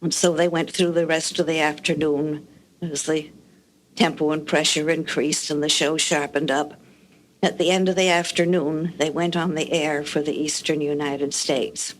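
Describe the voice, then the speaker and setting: An older woman shares recollections as a guest. Her voice is low for a woman, and she speaks in a measured, articulate way with dry humor. There is faint noise behind her. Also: level tone, low-quality recording. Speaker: guest recalling radio production history. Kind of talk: reminiscing anecdotes.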